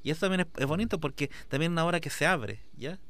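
A man's voice: fairly high pitch, expressive, very fast, a bit bright. Passionate rambling man. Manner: rambling, fills with "digamos", passionate. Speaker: artist guest. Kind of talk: conversational interview.

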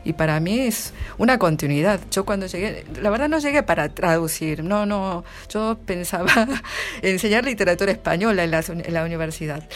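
Lower-voiced woman with an Argentine accent. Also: lively intonation, moderate pace. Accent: Argentine Spanish. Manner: chatty, many restarts, laughs often. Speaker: literary translator, guest. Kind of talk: casual interview answers.

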